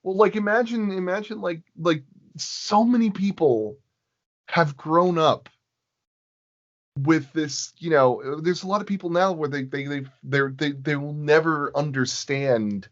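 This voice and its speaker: A man chatting casually as an interview guest, halting and often circling back to rephrase his thoughts. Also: fairly high pitch, muffled recording. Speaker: podcast guest, sound designer.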